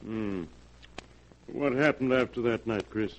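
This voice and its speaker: An actor playing an attentive, probing doctor in a 1940s radio drama. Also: male voice, mid-range voice, slow pace, muffled recording. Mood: concerned, questioning. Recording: hissy vintage radio recording.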